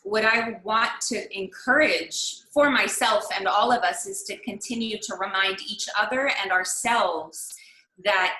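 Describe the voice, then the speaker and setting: Woman workshop leader, bright and clear, reflective and unhurried with lots of pauses; video-call audio in a roomy space. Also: medium pitch. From United States. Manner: reflective, searching, with frequent pauses and fillers. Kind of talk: online master class talk. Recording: echoey room.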